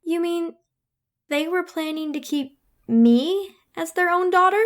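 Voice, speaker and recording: A woman's voice: very high-pitched, unhurried. A woman playing a resentful sixteen-year-old girl who acts the hurt innocent, in emotional old-time radio melodrama style. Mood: stunned, tearful. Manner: emotional, theatrical.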